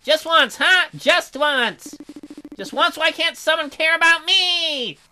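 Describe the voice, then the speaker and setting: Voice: high voice, slow pace, sharp tone, a little hiss or hum, voice pushed up, heavily acted. A performer voicing a needy, self-pitying male bear in a visual novel, whining and wailing melodramatically. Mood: wailing despair. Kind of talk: visual novel character reading.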